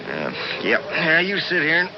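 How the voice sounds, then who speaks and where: A man's voice: on the high side, huge pitch swings, unhurried. A man acting in a 1970s radio drama as a plainspoken, wry rural sheriff with a folksy, laconic way of talking. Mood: wry, matter-of-fact. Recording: noisy, muffled 1970s radio broadcast.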